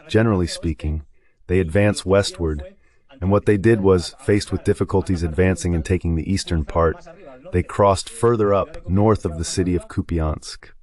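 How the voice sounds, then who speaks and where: A man's voice: sits low, darkish tone. A man giving explanatory, conversational commentary on a war as a guest analyst in a dubbed live talk.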